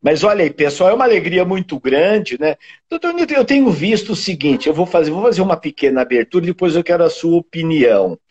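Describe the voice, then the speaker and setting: A man's voice: a little high, lively intonation, fast, darkish tone. An older man from the São Paulo countryside with a caipira Brazilian accent, hosting a casual fitness live stream and chatting about viewers' towns. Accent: São Paulo countryside caipira Brazilian Portuguese. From countryside of São Paulo state, Brazil. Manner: chatty, reads out viewers' towns, many tag questions. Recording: muffled husky online call audio.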